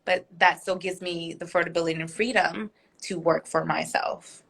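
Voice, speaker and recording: Woman, korean first language, guest on a live chat, lowish but bright voice, earnest. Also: fairly even intonation, moderate pace, gravelly, husky. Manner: earnest, reflective, candid. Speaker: guest, business owner. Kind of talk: casual interview. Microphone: video call.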